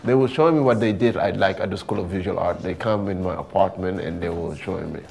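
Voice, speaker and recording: A man's voice: deep voice, a little fast, vocal fry, darkish tone, a little hiss or hum. A man with a Senegalese accent talking informally in an interview as an artist, often repeating words and phrases. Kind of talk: casual interview talk.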